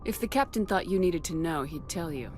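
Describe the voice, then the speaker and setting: Woman playing a low-voiced, stern starship commander. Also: animated, a little fast, darkish tone, soft background music, lightly acted. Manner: firm, clipped, authoritative. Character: stern, composed female military starship commander. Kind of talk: video game dialogue acting.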